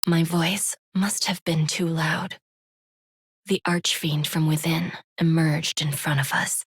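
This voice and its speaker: Earnest, slow-spoken young pupil. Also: female voice, sits low, level tone, husky, exaggerated voice. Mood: solemn. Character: earnest, devoted young female pupil, wistful. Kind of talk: dramatic first-person game narration. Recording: loud music behind.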